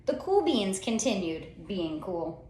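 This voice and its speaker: A woman reading a children's story aloud in an expressive, theatrical way, with dramatic pauses and drawn-out words. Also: mid-range voice, a little hiss or hum. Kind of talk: expressive picture-book storytelling. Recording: roomy, echoey sound.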